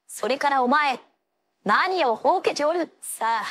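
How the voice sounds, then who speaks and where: A proud young ice-wielding swordswoman from an anime fighting game. Her voice sits high for a female. She phrases everything in an old-fashioned, imperious way, stern and commanding. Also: expressive, unhurried, fairly bright tone. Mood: brisk, bossy. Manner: archaic, haughty, commanding phrasing.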